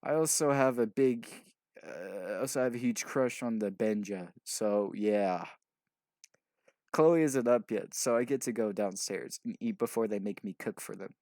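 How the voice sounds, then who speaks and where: A man's voice: on the low side, measured pace, darkish tone, dry recording, studio quality. A man reading a story aloud casually, stumbling over sentences as he narrates. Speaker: fanfiction reader.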